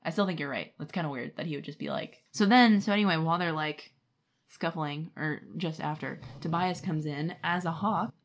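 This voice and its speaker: A woman's voice: low voice, fairly quick. A woman co-hosting a podcast, recapping a book in casual chat and slipping into paraphrased quotes from its characters. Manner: acts out characters' lines in paraphrase. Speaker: podcast co-host.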